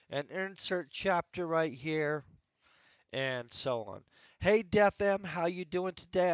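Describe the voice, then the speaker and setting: A man's voice: on the high side, clear, resonant tone, no echo, highs cut off. Congested man rambling on a livestream. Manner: rambling, thinking aloud with restarts. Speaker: author streaming his writing session. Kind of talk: casual livestream chat.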